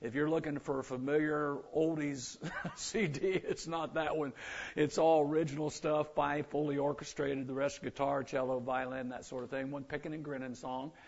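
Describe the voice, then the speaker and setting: An older man with a gravelly, rough voice, a visiting gospel singer at a church service, chatting folksily between songs. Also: on the high side, fairly even intonation, normal speed, darkish tone. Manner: folksy, self-deprecating patter between songs. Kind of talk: church singing and chat. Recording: muffled, lo-fi with instrumental backing.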